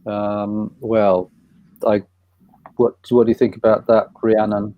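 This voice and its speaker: A man's voice: lowish voice, flat delivery, slow, narrow, tinny audio. A man chairing an online panel, reading out questions from the audience in a hesitant way with lots of fillers. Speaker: event chair. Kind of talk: moderating a panel discussion.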